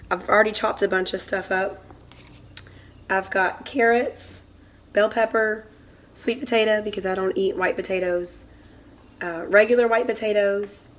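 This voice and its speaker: A woman with a hoarse voice from a cold, casually walking through a home cooking recipe step by step in her kitchen as the channel's host. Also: mid-range voice. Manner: chatty, explains step by step.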